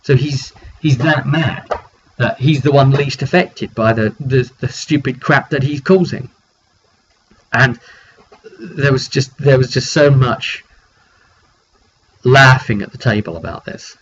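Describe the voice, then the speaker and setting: A man's voice: mid-range voice, a little slow, pauses often. Man recapping a game, dull lo-fi sound. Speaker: game master recapping a tabletop roleplay session. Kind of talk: casual spoken storytelling. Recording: dull lo-fi mic with background noise.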